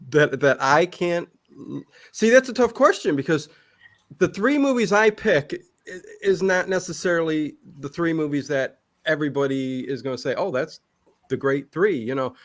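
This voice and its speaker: A man chatting casually as an interview guest, rambling and halting, often backtracking and repeating himself, with emphatic interjections. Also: a little high, animated, dry recording, studio quality. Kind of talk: casual chat.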